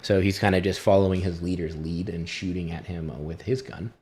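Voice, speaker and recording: A man acting as game master, casually and haltingly narrating a tabletop fantasy game. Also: low-pitched, darkish tone.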